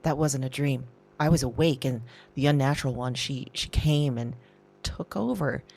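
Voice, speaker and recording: A woman playing an anxious, shaken woman recording an audio diary, halting and breathy, repeating herself and dropping into whispers. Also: a bass voice, darkish tone, full character voice. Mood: frightened, shaken. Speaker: audio drama performer. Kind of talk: intimate confessional audio diary acting.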